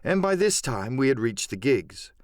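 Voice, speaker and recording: A man narrating a classic adventure audiobook in an expressive, dramatic storytelling manner. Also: medium pitch, normal speed, no room sound, studio quality. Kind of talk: dramatic scripted literary narration.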